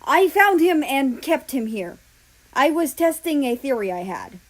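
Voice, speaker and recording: A woman plays an indignant man under arrest in a storybook reading. The voice is raised and loud, protesting and arguing his case. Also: sits high, expressive, unhurried, pitched above the performer's own voice, poor audio.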